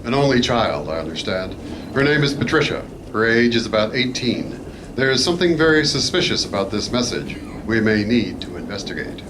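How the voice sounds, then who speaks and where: A man playing a calm, authoritative adventure hero in a radio play, measured, formal and dryly understated. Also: on the low side. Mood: calm, serious. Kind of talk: old-time radio play acting. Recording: noisy live convention stage.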